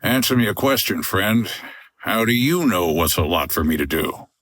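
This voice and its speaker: Low-voiced, gruff hotel owner. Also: male voice, animated, moderate pace, fairly bright tone. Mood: gruff, wary. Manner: terse, dry, tough-guy. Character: gruff, sardonic male hotel owner and boat pilot. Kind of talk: old-time radio adventure acting.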